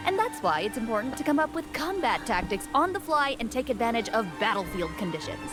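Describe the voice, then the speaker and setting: A woman's voice: a little high, sing-song, a little fast. A woman co-presenting game news, explaining from a script with playful banter. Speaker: co-presenter on a game update broadcast. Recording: loud music behind. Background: music.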